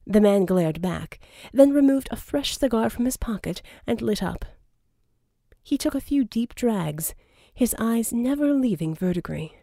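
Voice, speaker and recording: A woman's voice: fairly high pitch, expressive, unhurried, vocal fry, dark tone, dry recording, clean studio sound. A woman reading scripted fiction as an audiobook narrator.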